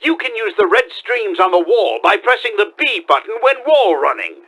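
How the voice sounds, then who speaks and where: An actor playing a pompous middle-aged mad scientist villain in a video game, theatrical and emphatic with a gravelly voice. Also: male voice, high-pitched, big pitch swings, moderate pace, fairly bright tone, heavily acted. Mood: instructive, scheming. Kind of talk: theatrical game character voice acting.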